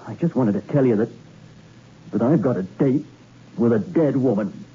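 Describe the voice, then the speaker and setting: A man's voice: medium pitch, expressive, normal speed, mellow, lightly acted. An actor playing a troubled, tough-talking man in a 1960s radio suspense play, hesitant and stammering, with hard-boiled slang. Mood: uneasy. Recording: muffled, noisy old radio recording.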